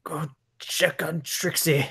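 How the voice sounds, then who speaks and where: A man voicing a brave, battered athletic hero with a husky, strained and halting delivery in an amateur fan fiction reading. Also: on the high side, steady tone, crowd noise. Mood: weak, pained.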